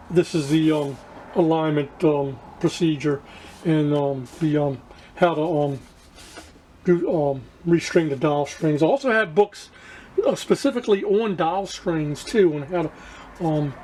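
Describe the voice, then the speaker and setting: A man giving a casual hands-on demonstration to viewers as a hobbyist, haltingly searching for words and repeating himself. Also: on the high side, darkish tone, slight background noise, low-quality recording. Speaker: radio restoration hobbyist presenting to viewers.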